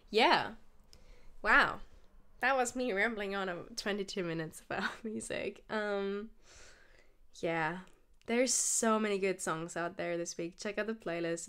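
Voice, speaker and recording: A woman's voice: lowish voice, fairly bright tone, smooth. A woman hosting a music podcast alone, rambling and enthusiastic, with lots of fillers. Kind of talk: casual solo podcast chat.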